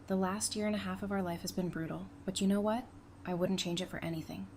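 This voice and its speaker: A woman's voice: mid-range voice, steady tone, fast talker, darkish tone, close to an everyday voice. A woman playing a tender, devoted bride in an audio drama, speaking earnestly and emotionally. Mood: heartfelt. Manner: earnest, emphatic, emotional delivery. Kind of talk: fan audio drama acting. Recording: faint background noise and ambience.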